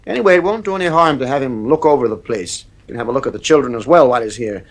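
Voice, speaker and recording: A man's voice: medium pitch, racing, close to an everyday voice. An actor in a radio play playing a strict, worn-down father, stern, irritable and emphatic. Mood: worried, weary.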